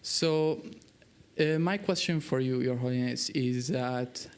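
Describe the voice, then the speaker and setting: A man's voice: medium pitch, a little hiss or hum. A young man from Syria with a Syrian Arabic accent, speaking earnestly and searching for words as he puts a question in a dialogue. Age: young adult. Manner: halting, searching for words in non-native English. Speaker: youth delegate asking a question. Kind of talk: earnest prepared remarks in a dialogue.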